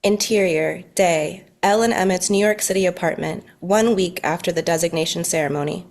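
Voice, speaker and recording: A woman's voice: lowish voice, brisk, darkish tone. A woman reading stage directions as the narrator of a scripted table read.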